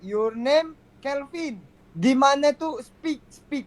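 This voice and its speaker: Woman doing street interviews, slightly high voice, curious and teasing. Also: slow.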